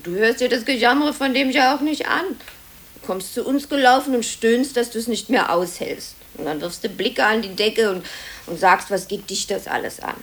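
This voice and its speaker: An actress playing a sharp-tongued, gossipy office typist, snappish and chatty, in a 1950s German radio drama. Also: female voice, on the high side, moderate pace, close to an everyday voice. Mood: needling. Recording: old cassette radio recording, some hiss.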